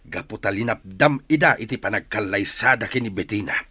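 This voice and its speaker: A middle-aged man with an Ilocano accent playing a resentful, grieving father in a radio drama, his voice husky. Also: lowish voice, brisk, pushed and bright, dry recording. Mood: bitter, accusing.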